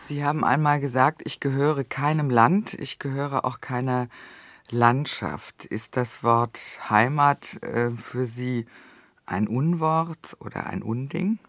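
A man interviewing a guest, asking formal, measured questions. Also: mid-range voice, a bit bright, dry recording.

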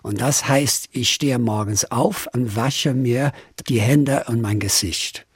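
Slow, husky older Irish man. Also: medium pitch, dry recording, clean studio sound. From Ireland, Dublin. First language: English. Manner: hesitant, many pauses and slips. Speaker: guest singer-songwriter. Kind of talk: radio interview answers.

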